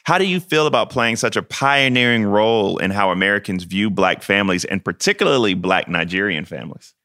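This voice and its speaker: A man hosting an interview podcast, animated and playful, laughing and joking casually with his guest. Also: fairly low pitch, brisk. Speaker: podcast host.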